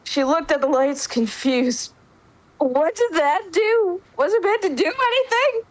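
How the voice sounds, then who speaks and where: A woman's voice: extremely high pitch, a little slow, rough recording. A woman narrating an action story in an informal, conversational way, with casual asides. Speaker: player narrating in a dramatic roleplay reading.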